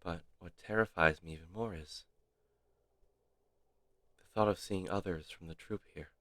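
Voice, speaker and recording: A man streaming a game, reading its text aloud dramatically with measured, theatrical pauses. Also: low-pitched, fairly bright tone, no room sound.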